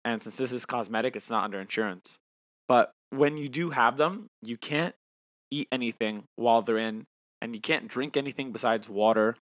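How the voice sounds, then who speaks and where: A man's voice: mid-range voice, moderate pace, a bit bright, dry recording. A man in his twenties co-hosting a casual podcast, talking with a lisp from new teeth aligners, chatty and self-mocking. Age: 20s. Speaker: podcast co-host. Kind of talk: casual chat.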